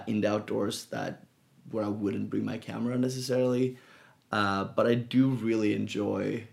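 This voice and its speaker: A man from Sweden with a Swedish accent, a guest speaking in a relaxed, thoughtful way with many fillers and restarts in a casual interview. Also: lowish voice, gravelly. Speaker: guest photographer.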